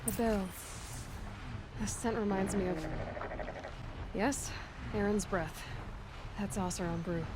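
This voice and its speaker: A woman playing a young, observant huntress in a video game, speaking in a measured, low-key way. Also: noisy background, lightly acted. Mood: quietly focused. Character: young female hunter, observant, calm, tracking alone. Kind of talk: video game dialogue, musing to herself.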